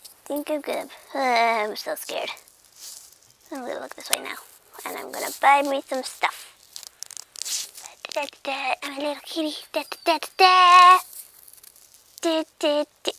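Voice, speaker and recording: Woman as a high, whiny little kitty. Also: moderate pace, vocal fry, pauses often, a bit bright, a little hiss or hum. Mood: sulky, wary. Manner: whiny, repetitive, babyish self-narration with meows. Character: childish, moody, excitable little pet house cat. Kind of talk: comic voice-over of a pet's thoughts.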